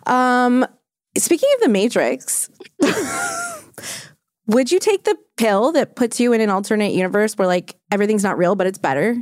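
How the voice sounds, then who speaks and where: A woman's voice: on the high side, sing-song, unhurried, dry recording, clean studio sound. A woman hosting a podcast in casual banter between friends, with a blunt, teasing, sweary manner. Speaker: pop culture podcast host.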